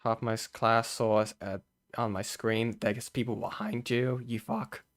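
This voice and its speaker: Streamer, male, hoarse, casual and profane. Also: mid-range voice, fairly even intonation, unhurried. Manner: loose, sweary, trailing off. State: voice going hoarse.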